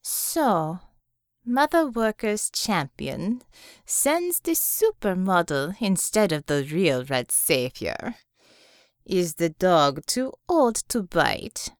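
A woman voicing a theatrical, menacing male German supervillain in an audiobook, with mocking, drawn-out lines and a German accent. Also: lowish voice, sing-song, smooth, no room sound, studio quality, exaggerated voice. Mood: sneering.

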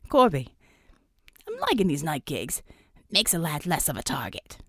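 A woman's voice: low voice, huge pitch swings, moderate pace, a bit bright, no echo, studio quality, voice pushed down, heavily acted. A woman voicing a cheeky young British man, chirpy and matey, with a pronounced British accent. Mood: cheerful.

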